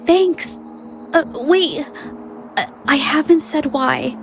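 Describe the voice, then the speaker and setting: A woman's voice: high voice, takes it slowly, vocal fry, exaggerated voice. A woman voicing a shy, polite young woman in a video game, speaking in a hesitant, apologetic way. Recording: game music behind the voice.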